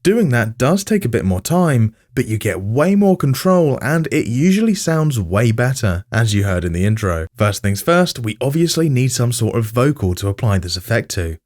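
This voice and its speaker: A man's voice: mid-range voice, big pitch swings, moderate pace, hardly any pauses, a little mellow. A man narrating a scripted music production tutorial as its host.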